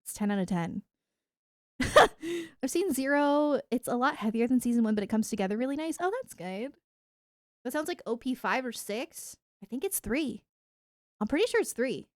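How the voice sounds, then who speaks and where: A woman chatting casually to viewers on a livestream in a giggly, animated, rambling way. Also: on the high side, moderate pace, no room sound, clean studio sound. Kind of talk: casual livestream chat about anime and games.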